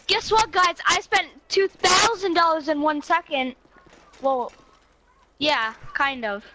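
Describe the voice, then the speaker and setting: Young woman, very high and sharp, casual gamer roleplay banter, slightly noisy mic. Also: takes it slowly. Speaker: online game roleplayer. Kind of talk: improvised casual game roleplay chat.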